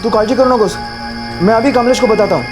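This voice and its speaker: Actor as a high-voiced, worried Marathi man. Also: fast talker, natural voice. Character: worried, helpful male family acquaintance. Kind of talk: TV crime drama acting. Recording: loud background music.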